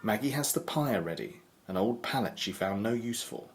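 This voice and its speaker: A man playing the sober narrator of a horror audio drama, measured and matter-of-fact. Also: on the low side, lively intonation, soft background music.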